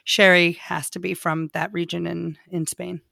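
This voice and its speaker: A woman's voice: on the low side, little pitch movement, moderate pace, creaky, sharp tone, no room sound, studio quality. A woman co-hosting a podcast, explaining in a hesitant way with many fillers. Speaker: podcast co-host. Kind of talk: casual conversational chat.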